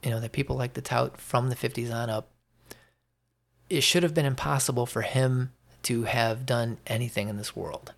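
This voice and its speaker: An American man hosts a solo opinion podcast in a voice full of gravel and fry. His delivery is unscripted and halting, and he leans hard on repeated phrases to drive his opinions home. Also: mid-range voice, steady tone. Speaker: podcast host.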